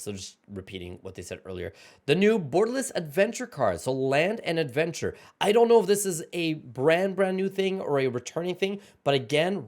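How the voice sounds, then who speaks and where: A man hosting a card game channel, giving casual excited reaction commentary as he reads card text aloud, his voice going hoarse and his throat often clearing. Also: mid-range voice. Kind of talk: casual reaction commentary while scrolling an article.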